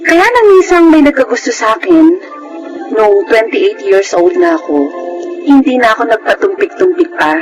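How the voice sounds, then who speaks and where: A naive, lovestruck young woman with a Filipino accent. Her voice is high and confiding, in radio-drama style. Music plays underneath. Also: big pitch swings, normal speed, poor audio. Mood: rueful.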